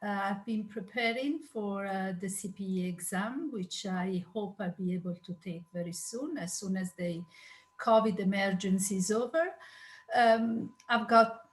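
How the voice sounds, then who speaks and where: A woman's voice: medium pitch, unhurried, rough recording. Woman from Italy, earnest, mid voice, video call. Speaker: participant.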